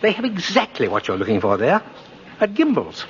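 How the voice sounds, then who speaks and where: An actor playing a kindly, principled old department-store Santa Claus in a 1950s radio drama, gently insistent and old-fashioned in manner. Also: male voice, fairly high pitch, huge pitch swings, a little fast. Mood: kindly, assured. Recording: dull, noisy old radio recording.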